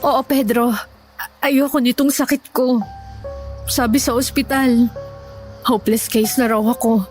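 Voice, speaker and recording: A woman's voice: on the high side, fairly even intonation, unhurried, long pauses, darkish tone, soft background music, natural voice. A woman playing a sick but hopeful and devout woman in an audio horror drama, speaking in an earnest, trusting way.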